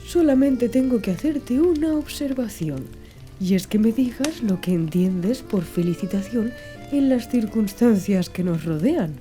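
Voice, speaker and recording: A woman voicing a learned, courteous gentleman traveller in formal, measured audiobook dialogue. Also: on the high side, animated, faint music behind. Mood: courteous, reflective.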